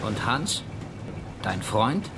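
A man's voice: lowish voice, sing-song, extremely slow, background noise, full character voice. An actor playing a sceptical male survivor crewing a small boat in a radio horror drama, dry and terse. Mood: probing.

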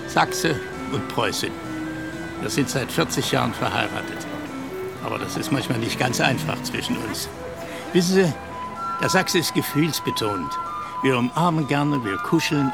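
A man's voice: medium pitch, creaky. A man, chatting personally as an interviewee in the street. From Leipzig, Saxony, Germany. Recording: music and outdoor traffic behind.

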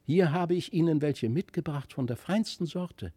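A male narrator puts on a dainty rich little boy in a storybook. He is affected and over-polite, full of French airs, speaking in a raised pitch. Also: lively intonation, moderate pace, warm tone, slightly rough, no room sound. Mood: affected politeness.

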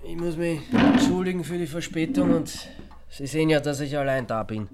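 An actor playing an awkward, polite, reluctant private investigator in a radio play, matter-of-fact, with an Austrian accent. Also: male voice, fairly high pitch, fairly even intonation, moderate pace, over loud music, lightly acted. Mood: polite, apologetic.

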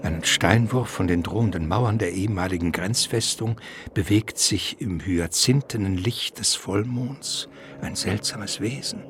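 A man narrating a radio play in an expressive, theatrical style, with suspense and irony. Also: fairly low pitch.

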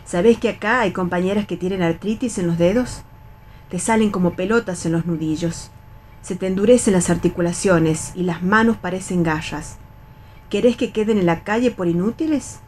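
A middle-aged Argentine actress playing a bossy, resigned, wary factory worker of nearly sixty in a radio theatre reading, instructing and admonishing colloquially. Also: female voice, mid-range voice, moderate pace, a little mellow, slight background noise, lightly acted. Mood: world-weary warning.

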